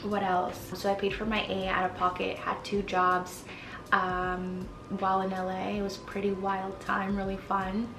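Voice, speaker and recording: Woman in her 20s, Spanish first language, gravelly voice, fairly flat delivery, candid vlog chat. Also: medium pitch, moderate pace, echoey room, distant mic, noisy background. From Mexico. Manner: candid, chatty, frequent fillers. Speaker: vlogger. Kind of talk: casual chat to camera. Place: her apartment.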